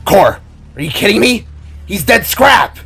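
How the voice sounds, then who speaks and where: A man's voice: high voice, very animated, unhurried, creaky, sharp tone, husky, faint music behind, voice pushed up, heavily acted. A man voicing an anxious, blunt male warrior in an audio story. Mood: incredulous.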